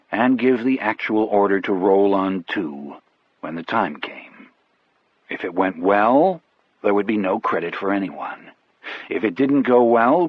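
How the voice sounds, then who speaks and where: A male audiobook narrator with a low, husky voice tells a first-person story. The delivery is measured and wry. The sound is very dry and a little muffled. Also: no room sound.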